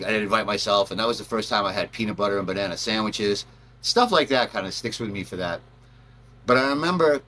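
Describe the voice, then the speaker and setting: Bright, forceful man telling stories casually. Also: on the low side. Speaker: storyteller, channel host. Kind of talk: casual personal storytelling.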